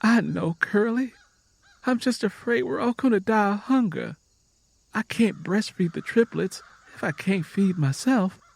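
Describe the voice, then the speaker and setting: A man's voice: high voice, animated, unhurried, gravelly, no room sound, pitched above the performer's own voice, full character voice. Guy doing a poor farm wife, worried and pleading, plain country talk, audiobook. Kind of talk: dramatic audiobook character voice.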